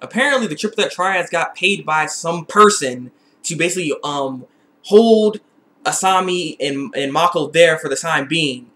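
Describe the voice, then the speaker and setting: High-pitched, bright-voiced young man. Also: moderate pace. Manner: excitable, animated, repeats phrases for emphasis. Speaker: TV episode reviewer. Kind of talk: casual video recap and review.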